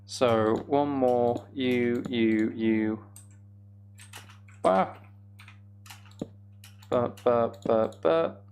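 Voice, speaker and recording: A man's voice: mid-range voice, very slow, gravelly, pauses often, darkish tone, slight background noise. A man streaming a video game, chatting casually and thinking aloud as he works things out. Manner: muttering to himself while working things out. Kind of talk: casual live gameplay commentary, thinking aloud.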